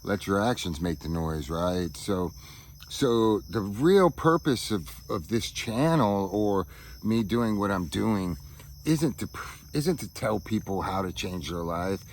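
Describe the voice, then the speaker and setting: Low-voiced man, halting and reflective. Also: lively intonation, slight background noise. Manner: halting, searching for words, often checking with "right?" and "man". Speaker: vlogger. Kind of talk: reflective personal monologue to camera. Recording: outdoor ambience with insects chirping.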